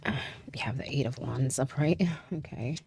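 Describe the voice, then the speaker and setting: Very deep-voiced woman, stuffy from a cold. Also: vocal fry, a little mellow, a little hiss or hum. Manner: chatty, laughs often, many fillers and trailing pauses. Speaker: tarot reader. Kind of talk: casual tarot reading to camera.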